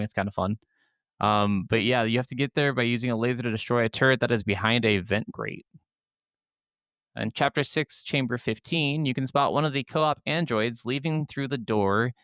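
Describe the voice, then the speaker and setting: A man's voice: lowish voice, fairly bright tone, clean tone, dry recording, studio quality. A man co-hosting a gaming podcast, talking casually. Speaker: podcast co-host.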